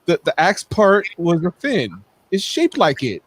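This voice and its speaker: A man with a Mexican accent co-hosting a casual chat over a video call, teasing the others and halting as he talks. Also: sits high, wildly swooping pitch, breathy edge, no room sound. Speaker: podcast co-host.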